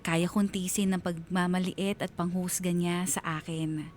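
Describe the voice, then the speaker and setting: A man's voice: high-pitched, level tone, a little slow. A wronged husband telling his own story in a radio drama. He narrates earnestly and sorrowfully, with music underneath. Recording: music bed behind the voice.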